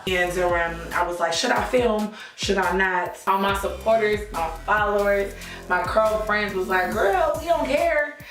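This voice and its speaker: A woman in her thirties with a hoarse, worn voice, chatting through a hair tutorial in an emphatic, friendly way. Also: medium pitch, a little slow, fairly bright tone, roomy sound, recorded from a distance, faint music behind. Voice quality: hoarse, lost voice. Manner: chatty, emphatic, says y'all a lot. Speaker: hair vlogger. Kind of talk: casual hair tutorial chat.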